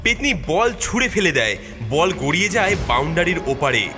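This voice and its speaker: Guy telling a kids cartoon story, dramatic, swoopy high voice over music. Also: big pitch swings, moderate pace. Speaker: narrator. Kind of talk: animated children's cartoon story narration.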